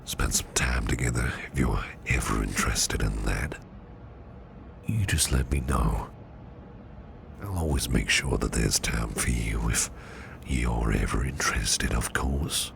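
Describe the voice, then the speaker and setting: An extremely low, crackling voice for a protective, gently flirtatious businessman boss acted by a man in an ASMR roleplay. He speaks slowly in a soft, breathy murmur, often half-whispering, courteous and soothing. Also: exaggerated voice. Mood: tender, soothing. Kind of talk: ASMR personal attention roleplay.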